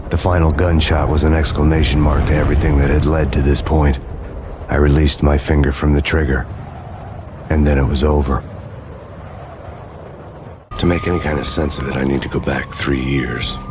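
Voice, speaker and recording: A deep, brooding noir cop. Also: male voice, moderate pace, pauses often, background noise. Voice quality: deep, flat, world-weary. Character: grim hard-boiled male cop, middle-aged, haunted. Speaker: detective narrator. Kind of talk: noir voice-over narration.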